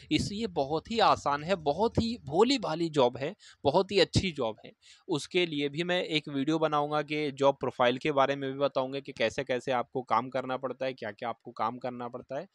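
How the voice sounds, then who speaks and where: A man's voice: medium pitch, fairly bright tone, no echo, clean studio sound. A man giving informal advice as an exam coaching instructor, explaining things and repeating phrases for emphasis.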